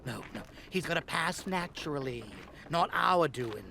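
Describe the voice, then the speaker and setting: An actor playing a callous, scheming leader of looters in a horror audio drama, scornful and domineering. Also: male voice, on the high side, animated, measured pace, vocal fry, background noise. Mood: exasperated. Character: callous scheming looter boss, impatient and bullying.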